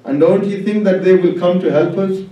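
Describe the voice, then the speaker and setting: A man preaching a mournful, impassioned Shia lament sermon in a declamatory way, with drawn-out phrases and rhetorical questions. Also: high-pitched, mellow. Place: large indoor congregation hall. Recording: echoey hall, distant microphone.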